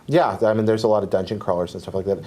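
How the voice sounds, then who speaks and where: A man chatting casually as a podcast panelist, reasoning out loud through guesses in a group guessing game. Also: on the low side, rapid-fire. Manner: thinking aloud, deliberating.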